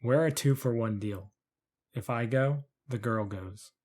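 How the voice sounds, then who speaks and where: A man playing a terse, protective father who is a tough fighter in an audio fantasy play, with a husky voice. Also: mid-range voice, measured pace, a little mellow, natural voice. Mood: defiant. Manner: terse, firm.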